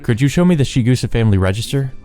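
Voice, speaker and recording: A man's voice: mid-range voice, animated, racing, dark tone, soft background music, voice pushed up, lightly acted. A man voicing an earnest, reserved young man in a visual novel, plain and direct.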